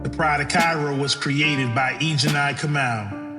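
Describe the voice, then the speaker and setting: A man's voice: a little high, measured pace. A man reading scripted credits as an announcer. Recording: reverberant, loud music under voice. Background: loud music.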